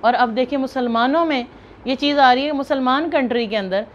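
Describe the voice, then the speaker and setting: A woman's voice: sits high, a little fast. A woman with a Pakistani accent giving earnest, emphatic, unscripted commentary to viewers, often rephrasing as she goes. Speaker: video host and commentator. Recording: muffled lo-fi, clear background noise.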